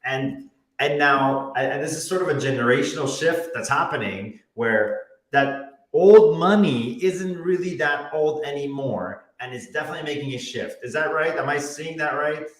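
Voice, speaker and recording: Male host, real estate broker; ponders out loud and restarts, echoey room. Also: fairly high pitch, moderate pace. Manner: thinking aloud, restarts often. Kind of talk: casual interview chat.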